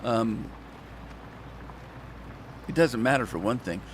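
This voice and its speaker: A man chatting his way through a farming game, drifting between topics and tossing jokes at his viewers. His voice sits at an ordinary pitch for a man, with a faint hiss of noise behind it. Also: lively intonation, takes it slowly. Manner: rambling, chatty, joking asides to viewers. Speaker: gaming channel host. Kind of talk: casual gameplay commentary.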